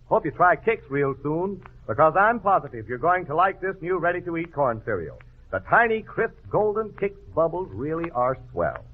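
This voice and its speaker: Upbeat old time radio pitchman, rough edge to voice, very lively, tinny sound. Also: moderate pace. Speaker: radio show announcer. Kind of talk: 1940s radio sponsor announcing. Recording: tinny vintage radio broadcast.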